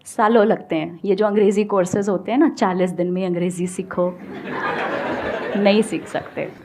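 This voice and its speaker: A woman, a guest speaker giving calm, unhurried motivational advice on stage and mixing in phrases. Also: medium pitch, background noise. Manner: calm, unhurried, mixes English phrases into Hindi. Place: stage before a live audience.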